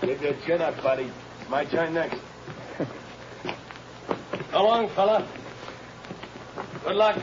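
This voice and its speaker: A man's voice: fairly high pitch, lively intonation, slow pace, long pauses. An actor playing a cheery male death-row convict with breezy bravado in a 1930s radio drama. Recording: noisy, dull old radio recording.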